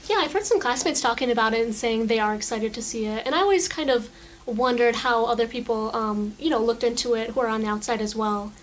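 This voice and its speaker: A woman's voice: fairly high pitch, fast talker, a little hiss or hum, low-quality recording. A teenage girl, a student actor, talking in a casual, upbeat interview with many fillers. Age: teens.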